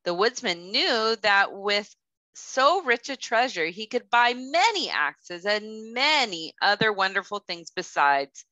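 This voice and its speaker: A woman in her thirties, a kindergarten teacher reading a children's storybook aloud with careful, expressive delivery. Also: medium pitch, takes it slowly, no echo, lo-fi sound. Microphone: headset.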